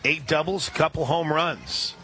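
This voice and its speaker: A man doing live radio play-by-play of a softball game, relaxed and chatty, lifting his voice on big plays. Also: fairly high pitch, measured pace, noisy background.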